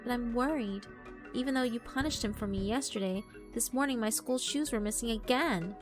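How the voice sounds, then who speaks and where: A woman's voice: fairly high pitch, normal speed, soft background music, voice pushed up, close to an everyday voice. A woman voicing a yokai posing as a sweet, frightened schoolgirl, pleading with false innocence in a horror visual novel. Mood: sweet, worried. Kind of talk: visual novel character narration.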